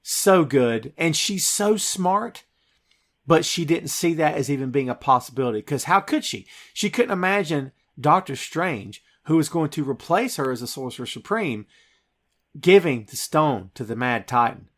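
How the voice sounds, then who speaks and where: A man's voice: fairly high pitch, expressive, normal speed. A man hosting a podcast, retelling a film scene by scene in an enthusiastic, emphatic conversational way. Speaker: podcast host.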